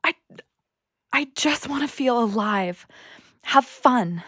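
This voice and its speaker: Young daughter, earnest, anxious, higher pitch, unhurried, dry studio sound, audio play. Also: female voice, animated, fairly bright tone, no echo, exaggerated voice. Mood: anxious, yearning. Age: young adult. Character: restless, anxious young daughter longing for adventure.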